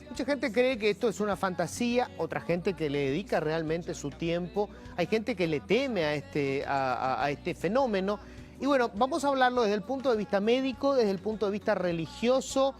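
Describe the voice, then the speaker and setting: Talk show host moderating a studio panel. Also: male voice, sits high. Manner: conversational, interjects often.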